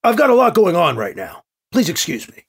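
Guy doing an anxious rookie governor, audio novel, hesitant, voice pitched up and a bit husky. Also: huge pitch swings, a little fast, exaggerated voice. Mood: harried. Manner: hesitant, worried, blurting. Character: flustered, inexperienced male colonial governor, anxious and overwhelmed.

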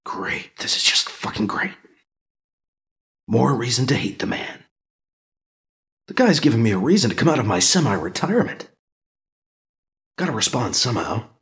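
A man's voice: mid-range voice, very animated, normal speed, pauses often. A man voicing a bitter male priest and secret agent who snarls and mutters curses under his breath.

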